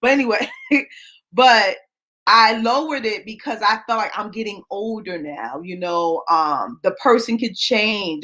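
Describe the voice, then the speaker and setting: A woman's voice: mid-range voice, sharp tone. A woman in her forties hosting a live show, confiding a personal story in a chatty way, often asking "right?". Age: 40s. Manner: chatty, confiding, tag questions. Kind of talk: casual personal talk to viewers.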